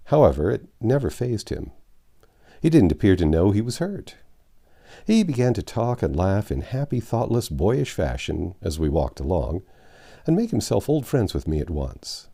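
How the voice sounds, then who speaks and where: A man reading a classic novel aloud as an expressive storybook narrator. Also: fairly low pitch, gravelly. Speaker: audiobook narrator.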